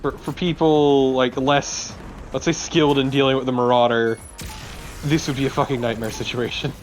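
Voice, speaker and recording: Informal man co-commentating, mid-pitched voice. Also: fairly even intonation, normal speed, soft background music. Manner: informal, sweary. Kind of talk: casual gaming chat.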